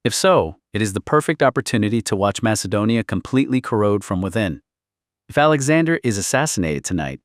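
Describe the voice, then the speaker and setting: A man's voice: fairly low pitch, no echo, studio quality, close to an everyday voice. A man voicing a stony, venomous foreign observer in an audiobook, cold, precise and disdainful.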